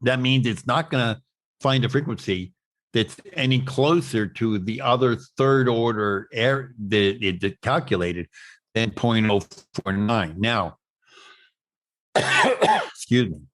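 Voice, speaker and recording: A man giving a technical webinar explanation as an instructor, in a halting, stop-start way with repeated words. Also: medium pitch, lively intonation, fairly bright tone. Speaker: instructor presenting at an online summit.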